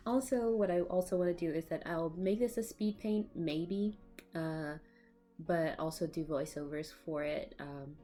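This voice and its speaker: A woman's voice: lowish voice, mellow. A woman with a cold, chatting casually with fillers and small laughs as she talks over her own painting video, recorded on a laptop mic at home. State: has a cold. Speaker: art vlogger. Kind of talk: casual voiceover for a speed paint. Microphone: laptop microphone.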